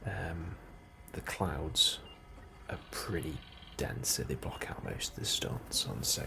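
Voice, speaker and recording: A man doing a soft, breathy ASMR role-play as an unhurried, politely understated officer interviewing a newcomer. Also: extremely low pitch. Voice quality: soft, breathy, often near whisper. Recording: steady ambient noise behind the voice. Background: computer and ambience sounds.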